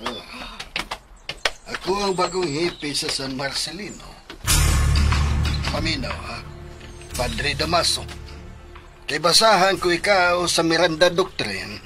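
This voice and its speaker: An actor playing a proud, officious and hearty police chief in a radio drama, speaking emphatically with a Filipino accent. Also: male voice, on the high side, expressive, takes it slowly, long pauses. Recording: music behind the voice.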